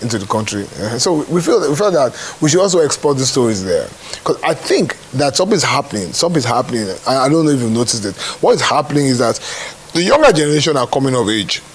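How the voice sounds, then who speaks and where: Nigerian man over audible hiss. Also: mid-range voice. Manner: emphatic repetition, frequent check-in tags. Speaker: stage play producer. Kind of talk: informal interview answer. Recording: clearly noisy with hiss.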